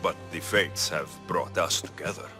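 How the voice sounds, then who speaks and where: An actor playing a capable, earnest Greek mercenary hero in a video game cutscene. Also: male voice, sits low, normal speed, music in the background, close to an everyday voice. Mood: calm, sincere.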